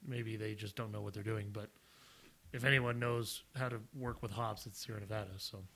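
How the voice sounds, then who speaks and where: A man's voice: fairly low pitch, fairly even intonation, normal speed, gravelly, fairly bright tone. A young man co-hosting a casual beer review chat, talking loosely with frequent laughs and restarts. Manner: chatty, laughs often, hedging and restarting. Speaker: podcast co-host reviewing beer.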